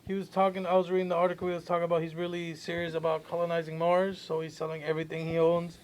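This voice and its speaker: A man's voice: on the high side, completely flat delivery, racing, poor audio. A man co-hosting a podcast, explaining things earnestly in casual chat. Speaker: podcast co-host.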